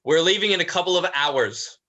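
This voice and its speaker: Guy doing a protective vampire big brother, tender, highish bright voice, halting lines in a play reading. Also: lively intonation. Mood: weary, matter-of-fact. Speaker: actor in a play script reading. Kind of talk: dramatized livestream script reading.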